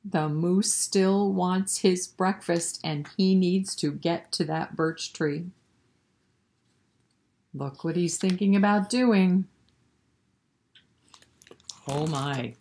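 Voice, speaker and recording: A woman reading a picture book aloud to children in an expressive way, pausing to explain and prompt her young listeners. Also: lowish voice. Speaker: children's storyteller at a library.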